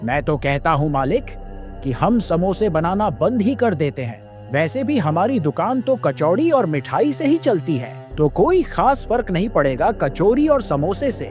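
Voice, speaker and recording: Brief: male actor playing cartoon shop underling, high voice, swooping intonation, deferential yet doubtful. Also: big pitch swings, a little slow, a little mellow, faint music behind. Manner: deferential, questioning. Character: shop worker, obedient but doubting underling. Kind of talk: children's cartoon dialogue.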